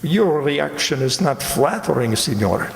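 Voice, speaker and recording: A man's voice: fairly high pitch, big pitch swings, gravelly, full character voice. A man playing a suave, wry Italian police commander in a stagey radio mystery, formal and ironically courteous. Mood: wry, authoritative. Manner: formal, ironic, deliberately theatrical. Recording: echoey hall recording.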